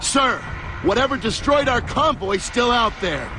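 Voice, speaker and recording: An actor playing a cocky young American army lieutenant in a video game, recounting battle in a sardonic, matter-of-fact way. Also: male voice, very high-pitched, animated, a little slow, noisy background. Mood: urgent. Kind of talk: video game voice acting, testimony narration. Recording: loud game score behind voice.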